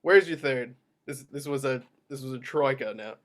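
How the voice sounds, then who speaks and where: A man's voice: medium pitch, normal speed, fairly bright tone, pitched below the performer's own voice, close to an everyday voice. A man playing a self-deprecating, jittery mob attorney in his forties, half drunk and rambling, at a script table read. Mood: jittery greeting. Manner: rambling, stammering. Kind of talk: amateur screenplay table read.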